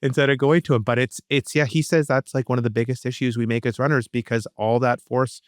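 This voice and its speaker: Smooth-voiced man hosting an interview. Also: mid-range voice, fairly even intonation, fast talker, no room sound, clean studio sound. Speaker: podcast host, interviewer. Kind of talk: casual conversational interview.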